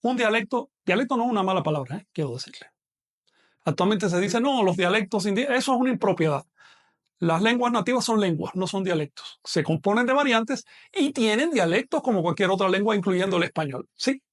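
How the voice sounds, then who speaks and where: A man teaching an online language class, explaining at a measured pace with a non-Mexican Spanish accent. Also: high voice, expressive. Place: home setup, livestream class.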